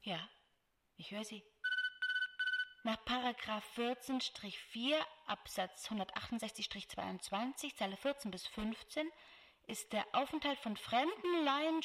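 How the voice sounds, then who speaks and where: An actress playing a strict, bureaucratic female superior at a security agency in an audio drama. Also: a little high. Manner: bureaucratic, authoritative. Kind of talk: German audio drama acting.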